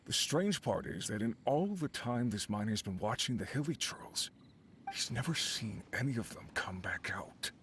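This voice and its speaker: An actor playing an easygoing but uneasy miner who leads a crew, speaking in an earnest, conversational, explanatory way as video game dialogue. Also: male voice, lowish voice, sing-song, normal speed, fairly bright tone, a little hiss or hum. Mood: uneasy, puzzled. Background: game background music.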